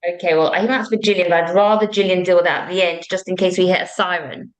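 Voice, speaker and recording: A woman's voice: on the low side, steady tone, fast. A woman hosting an online panel in an informal, conversational way. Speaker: webinar host.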